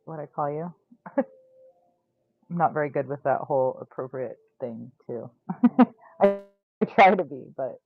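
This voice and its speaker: A woman's voice: low voice, lively intonation, slow, creaky, long pauses, faint music behind. Chatty woman in her forties, tinny sound. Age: 40s. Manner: chatty, laughs often, lots of "like". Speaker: tarot reader hosting a live sale stream. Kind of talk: casual livestream chat.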